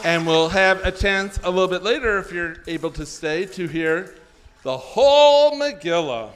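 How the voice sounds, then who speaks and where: A man's voice: sits high, expressive, normal speed, clean tone. A man hosting a live event in a social hall, addressing a congregation. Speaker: host introducing a congregational puppet show.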